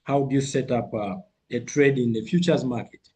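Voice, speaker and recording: A man's voice: mid-range voice, normal speed, warm tone, slight background noise. A man with a cold teaching a live online trading lesson, repeating figures and phrases for emphasis. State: has a cold. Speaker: trading coach.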